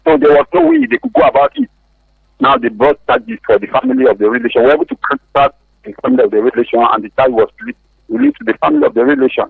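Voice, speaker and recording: A man calling a radio show by phone, recounting things in a halting way and repeating phrases. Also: mid-range voice, wildly swooping pitch, creaky, husky, no room sound. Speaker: phone-in caller. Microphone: phone call. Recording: muffled dry radio phone line.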